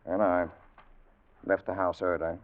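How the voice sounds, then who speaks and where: Haunted sea captain, low and grave, resolute under grief, old radio drama with dulled sound. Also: male voice, level tone, a little slow. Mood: subdued, hopeful. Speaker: actor. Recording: muffled vintage radio recording.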